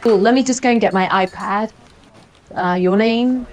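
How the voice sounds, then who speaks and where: A woman playing a polite, helpful clerk at a school check-in desk in an amateur animated drama. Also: a little high, normal speed, natural voice. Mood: welcoming. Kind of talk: scripted welcome announcement.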